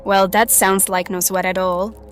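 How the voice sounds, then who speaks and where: A young survivor heroine acted by a woman speaks at a middling pitch with slow timing. She is plucky and wry, casual and to the point, in audio drama style over faint music. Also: level tone, lightly acted. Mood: wry, curious. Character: wry, plucky young female survivor seeking to stop an apocalypse.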